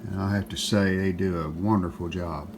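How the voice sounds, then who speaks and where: A man with a fairly low, gravelly voice walks through a repair job step by step. He talks casually and plainly, pausing mid-sentence, over some background noise. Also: steady tone. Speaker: host of a restoration how-to video. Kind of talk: casual hands-on demonstration narration.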